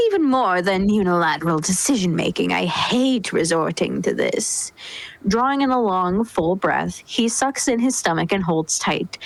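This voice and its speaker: A woman giving an expressive scripted narration as a reader in a dramatic reading. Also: mid-range voice, no room sound, low-quality recording.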